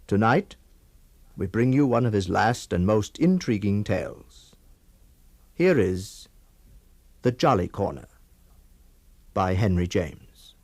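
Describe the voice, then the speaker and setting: A male host introduces a tale of the supernatural from a script. His voice is low and very slow, portentous in delivery, recorded close and dry. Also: expressive, a little mellow, no echo. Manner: measured, portentous. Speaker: host of an anthology drama series. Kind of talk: scripted introduction to a ghost story.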